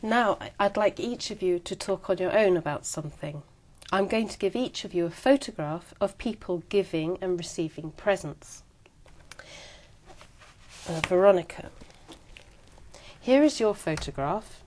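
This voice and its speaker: A woman examiner giving clear, measured, polite instructions in a speaking exam. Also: on the low side, darkish tone, a little hiss or hum. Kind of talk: scripted exam prompts.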